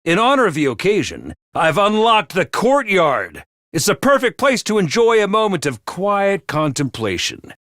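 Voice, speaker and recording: An actor voicing a chipper, unhinged male academy announcer who gives bubbly announcements and bursts into gleeful shouting. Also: high voice, wildly swooping pitch, normal speed, no echo, studio quality, full character voice. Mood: cheery. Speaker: game character announcer. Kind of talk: video game voice lines.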